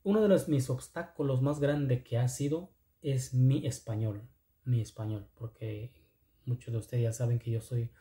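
A man from Oaxaca whose first language is Zapotec speaks with a Mexican second-language accent. His voice is very soft-edged and dark, at a middling pitch. He talks casually and earnestly as a motivational vlogger, halting and repeating himself, in an echoey space. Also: fairly even intonation. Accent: Mexican, second-language Spanish with Zapotec influence. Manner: halting, repetitive, earnest phrasing. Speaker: video channel host. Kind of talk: casual motivational vlog talk.

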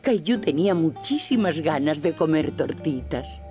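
Low-voiced Castilian woman storyteller. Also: expressive, normal speed, warm tone, faint music behind. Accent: Castilian Spanish. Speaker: narrator. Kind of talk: scripted children's story narration.

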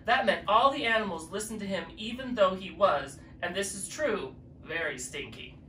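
Drag queen reading a picture book aloud, expressive, mid-pitched, recorded from a distance in a roomy space. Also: normal speed. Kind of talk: children's story reading. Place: living room with fireplace, indoors. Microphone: distant camera mic. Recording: echoey room, distant mic, faint noise.

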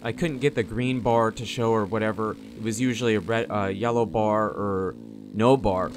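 A man's voice: lowish voice, fairly even intonation, normal speed. Man commentating gameplay over engine noise. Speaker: gaming video host. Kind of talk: casual off-the-cuff gameplay commentary. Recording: game engine noise behind the voice. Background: motocross bike engine sounds from game.

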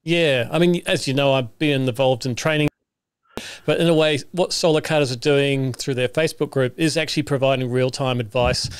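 A man's voice: medium pitch, fairly even intonation, fairly quick, clear, resonant tone, no room sound. A man in his fifties with a New Zealand accent, hosting a casual online interview in an upbeat, chatty way from a home studio. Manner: upbeat, chatty, laughs often. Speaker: host. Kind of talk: casual video-call interview. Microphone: studio mic on a stand with headphones.

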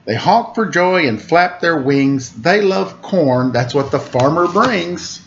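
Lively storytime man, unhurried and swooping. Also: on the high side. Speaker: storyteller reading aloud to children. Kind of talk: picture book read-aloud with casual asides. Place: indoor room at home. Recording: dull lo-fi webcam audio with noise.

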